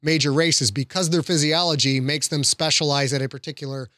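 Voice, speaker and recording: A man's voice: a little high, steady tone, a little fast, bright, forceful tone, dry recording, studio quality. A man, an author talking as a guest in a casual discussion and explaining his thoughts.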